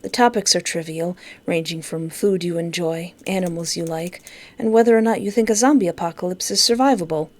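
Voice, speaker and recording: A woman's voice: fairly low pitch, fairly quick. A woman reading a visual novel aloud expressively, with playful, giggly reactions. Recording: music behind. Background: game music.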